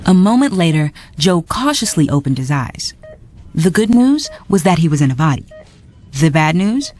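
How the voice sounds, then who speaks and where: Lively woman reading a storybook. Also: lowish voice, moderate pace. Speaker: narrator. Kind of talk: storybook read-along narration. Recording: narration over soft music.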